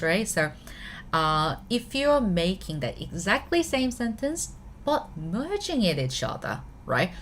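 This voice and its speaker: A woman's voice: fairly low pitch, slight background noise. A woman from Korea with a Korean accent, teaching a live online language lesson in a chatty manner, often checking in with her students. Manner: switches between English and Korean.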